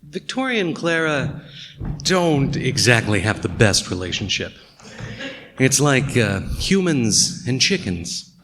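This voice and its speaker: A man's voice: medium pitch, big pitch swings, takes it slowly, a bit bright, slight background noise. An actor playing a world-weary, sardonic male narrator, delivering scripted noir narration in a radio play. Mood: wry, brooding.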